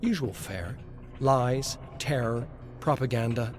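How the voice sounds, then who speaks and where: Man acting as female agent, higher pitch, crisp, businesslike, audio drama briefing, music behind. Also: slow, natural voice. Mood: businesslike, grave.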